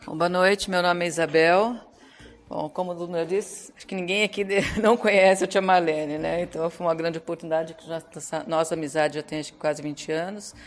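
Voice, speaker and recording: A woman's voice: on the low side, steady tone, brisk. A woman who teaches cooking, introducing herself to a group and speaking gratefully about a friend. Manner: grateful, warm-hearted tribute. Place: meeting room with a group.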